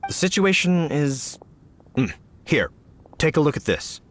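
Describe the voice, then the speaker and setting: Earnest, animated young knight. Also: male voice, a little high, a little slow, a little hiss or hum. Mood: apologetic, polite. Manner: courteous, formal, eager. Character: earnest, polite male city knight on duty. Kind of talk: video game character acting. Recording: game music behind the voice.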